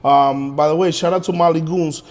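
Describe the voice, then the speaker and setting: A man's voice: on the high side, fairly even intonation, brisk, darkish tone, long reverb tail. A man whose first language is Spanish, a guest musician chatting casually and rambling with lots of fillers and restarts. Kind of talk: casual interview chat.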